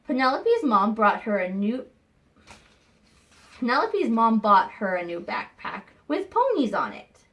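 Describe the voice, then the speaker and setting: A woman teacher reading a picture book aloud to young students, expressive and pausing to think aloud with questions for them. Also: on the high side. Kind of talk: read-aloud lesson with questions to children.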